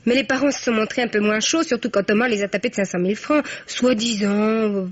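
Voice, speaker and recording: An actress playing a frank, cheeky young student daughter in a 1950s French radio mystery. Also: female voice, a little high, a little fast, lightly acted. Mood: chatty, amused. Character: brash, wry young student daughter, freshly jilted. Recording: dull vintage radio recording.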